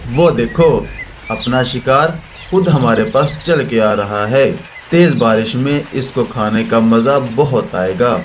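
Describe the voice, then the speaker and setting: A man plays a predatory eagle boss from a children's cartoon. He sounds menacing and gloating at a medium male pitch, over clearly noisy bird ambience. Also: slow pace, natural voice.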